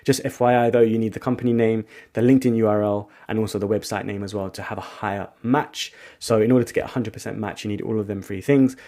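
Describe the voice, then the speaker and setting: A man walking viewers through a software tool in a casual screen-share tutorial, thinking out loud with frequent restarts. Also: lowish voice, steady tone, quick pace, few pauses. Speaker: agency founder giving a software tutorial.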